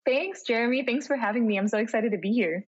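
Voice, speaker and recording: A woman's voice: a little high, fast talker, breathy edge, dull audio. A young woman from the Philippines, chatting as a podcast guest in an upbeat, talkative way. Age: young adult. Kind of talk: casual interview chat.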